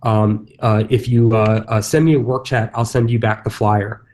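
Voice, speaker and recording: Mellow-voiced man talking casually on stage. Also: on the low side, flat delivery, moderate pace. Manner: casual, loose, many fillers and restarts. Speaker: real estate agent speaker. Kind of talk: conference stage talk.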